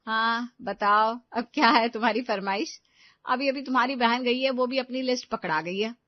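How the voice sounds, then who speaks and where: A woman's voice: on the high side, normal speed, dry recording, dull audio, natural voice. A woman voicing a doting, indulgent middle-aged mother and housewife in a cartoon family story.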